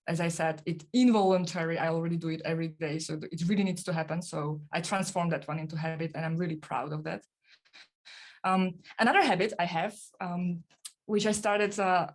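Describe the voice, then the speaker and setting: A young woman, a podcast guest, answering interview questions casually with many fillers and restarts. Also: fairly low pitch. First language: not German.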